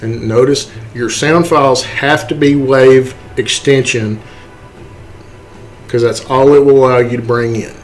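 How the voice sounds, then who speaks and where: A man giving an unscripted software tutorial, halting and step-by-step, often checking in with a questioning okay. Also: medium pitch, fairly even intonation, faint music behind. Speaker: tutorial host.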